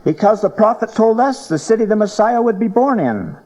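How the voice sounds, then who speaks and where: An actor playing a bold Pharisee scholar in a dramatized audio Bible, declaiming in a pointed manner. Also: male voice, high-pitched, sing-song, brisk, a little mellow.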